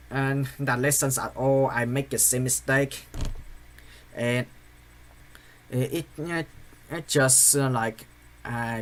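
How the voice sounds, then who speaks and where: Hesitant young man with a Vietnamese accent. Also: mid-range voice. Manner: halting, hesitant, many fillers and restarts. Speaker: channel host. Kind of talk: apologetic personal confession.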